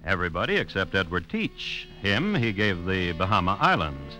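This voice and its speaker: A male narrator tells a story in a wry, old-time radio style. His voice is on the low side, bright and very animated, with soft music behind. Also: rough recording. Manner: wry, storytelling with dramatic pauses. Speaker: narrator and host. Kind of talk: scripted 1950s radio narration.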